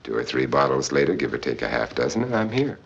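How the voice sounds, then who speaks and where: An actor playing a wry, world-weary adventurer for hire who drinks hard, speaking in a theatrical, sardonic and lyrical way in an old film. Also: male voice, rumbling low, big pitch swings, fast talker, gravelly, heavily acted. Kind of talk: old film acting with poetic first-person narration. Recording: muffled old film soundtrack, faint music.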